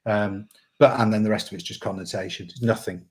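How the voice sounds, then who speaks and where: Relaxed man chatting on a livestream. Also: fairly low pitch, brisk. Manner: relaxed and rambling, with many fillers and restarts. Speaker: sailing channel co-host. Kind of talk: casual livestream chat reading comments.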